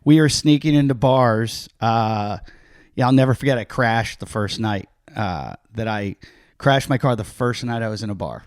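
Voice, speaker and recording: A man's voice: fairly low pitch, lively intonation, moderate pace, no echo, studio quality. A middle-aged man from Pittsburgh hosting a podcast, telling self-mocking anecdotes in a loose, rambling way and acting out other people's lines. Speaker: podcast host, comedian. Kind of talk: casual storytelling chat.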